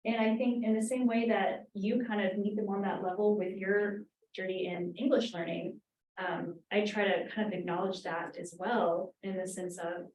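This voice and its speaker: A woman's voice: medium pitch, steady tone, normal speed, darkish tone, slight background noise. A woman instructor in a roundtable discussion, speaking thoughtfully with many "um"s and "like"s. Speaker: graduate language instructor. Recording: roomy, from far off.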